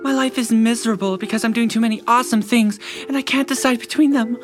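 A man's voice: very high-pitched, fairly even intonation, fast, faint music behind. An actor playing a sarcastic, teasing teenage boy, a loyal best friend, in an audio drama. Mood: mocking. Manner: wry, exaggerated teasing.

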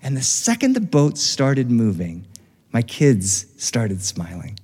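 A middle-aged American man telling a first-person story live in an expressive, animated way with dramatic pauses. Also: medium pitch, slightly rough. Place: reverberant studio, recorded live. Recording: live studio recording with long reverb.

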